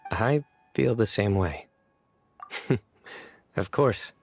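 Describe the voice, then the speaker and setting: An actor playing a stoic, dignified young champion in a video game, composed, deadpan and self-assured. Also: male voice, on the low side, extremely slow, vocal fry, heavily acted. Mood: reflective. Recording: music under the dialogue.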